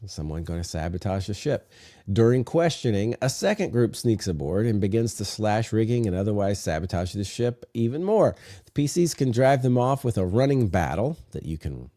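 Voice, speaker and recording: A man's voice: on the low side, warm tone, no echo, studio quality. A man hosting a podcast with a sick, congested voice, chatting casually and reading text aloud with many filler "uh"s. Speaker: podcast host.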